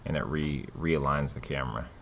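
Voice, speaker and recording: Very deep-voiced man demonstrating software. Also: brisk, vocal fry, a little hiss or hum. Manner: relaxed, many fillers and restarts. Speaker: software tutorial host. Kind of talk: casual screen-recorded tutorial.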